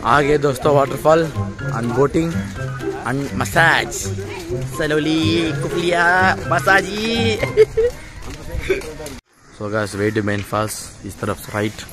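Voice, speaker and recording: A man's voice: a little high, lively intonation, extremely slow, long pauses. A young man vlogging on a walk, talking casually to his viewers about the day and laughing often, filmed on a phone held at arm's length outdoors. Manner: chatty, laughing, addresses viewers as friends. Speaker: travel vlogger. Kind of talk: casual travel vlog. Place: outdoors on busy town streets and at a tourist site. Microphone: phone held at arm's length, selfie. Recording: loud background music over the talk.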